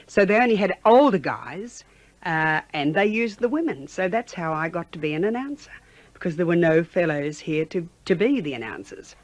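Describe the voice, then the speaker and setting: An Australian woman in her sixties reminiscing in a chatty, halting conversational way, as a former radio announcer being interviewed. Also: on the low side, expressive, no room sound. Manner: chatty, halting, with frequent "you know".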